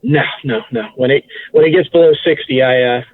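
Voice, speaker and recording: A man's voice: medium pitch, a little fast. Male guest on dull, band-limited audio. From South Florida. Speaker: podcast guest. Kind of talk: casual interview chat.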